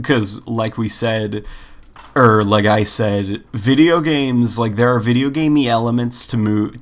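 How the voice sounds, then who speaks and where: A man co-hosting a podcast, chatting casually in an informal, animated way full of filler words. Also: mid-range voice. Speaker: podcast co-host. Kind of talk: casual chat.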